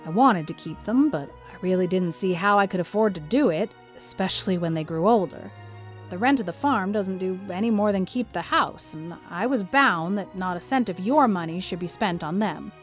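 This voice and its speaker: A woman's voice: fairly low pitch, expressive, soft background music, lightly acted. Woman acting a stern, practical older guardian. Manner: brisk, scolding, matter-of-fact. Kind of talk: audiobook drama character reading.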